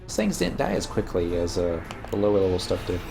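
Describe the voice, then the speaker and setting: A man's voice: deep voice, very animated, a little fast, creaky, over music. A man with a Texan accent casually chatting through his gameplay, rambling and answering viewers as he goes. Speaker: streamer playing an online game. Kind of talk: casual live gameplay commentary.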